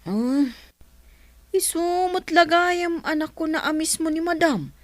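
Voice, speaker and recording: A woman's voice: extremely high pitch, lively intonation, a little slow, dry recording. A woman voicing an eager young male servant who talks earnestly. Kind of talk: radio drama acting.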